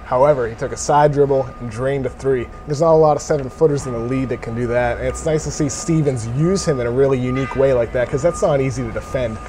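A man's voice: medium pitch, fast talker, hardly any pauses. A man giving casual basketball commentary as an analyst. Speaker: sports analyst.

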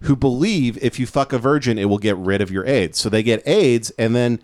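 A man co-hosting a comedy podcast, delivering crude jokes in a deadpan, provocative way. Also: fairly quick. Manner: deadpan provocative joking, lively pitch. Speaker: podcast co-host.

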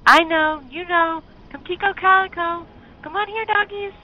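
A woman voicing a frightened old mountain man who calls out loudly in a rustic way. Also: very high-pitched, fairly even intonation, normal speed, dull audio, voice pushed up. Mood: calling, scared. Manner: loud rustic calling.

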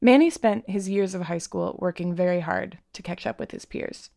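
Creaky-voiced woman reporter narrating. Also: on the low side, warm tone, dry recording, studio quality. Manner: measured storytelling. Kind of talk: scripted narration.

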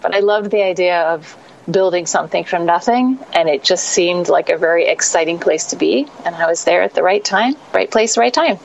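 A woman's voice: fairly low pitch, moderate pace, creaky, dull audio, slight background noise. A woman speaking as an organization's executive director in an interview, enthusiastic and fluent.

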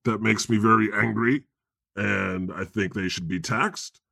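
A man's voice: on the low side, fairly even intonation, a little slow, gravelly, bright, forceful tone, no echo. A male podcast co-host, joking in a dry, mock-solemn manner. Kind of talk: casual podcast chat.

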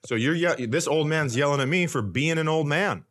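A middle-aged American man telling stories casually and comically, with an animated, blunt, ranting manner and some swearing. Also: on the high side, moderate pace. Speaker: podcast host, comedian. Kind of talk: casual comedic storytelling.

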